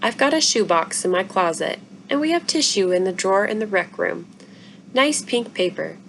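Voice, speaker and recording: A practical, easygoing storybook mother as a woman reads her, speaking in a matter-of-fact way at an ordinary female pitch. The recording has clear background noise. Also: lively intonation, moderate pace, fairly bright tone. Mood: consoling. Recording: noisy room recording.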